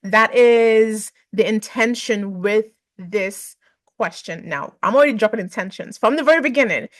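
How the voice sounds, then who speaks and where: A woman's voice: medium pitch, normal speed, no room sound, studio quality. A woman hosting a webinar, upbeat and affirming as she introduces the Q&A and poses questions to her guest. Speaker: webinar host. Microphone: video call.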